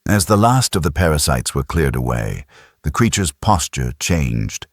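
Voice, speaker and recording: A man narrates a sci-fi audiobook in a very deep, husky voice. His delivery is dramatic, with animated pitch movement. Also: measured pace, no room sound, clean studio sound.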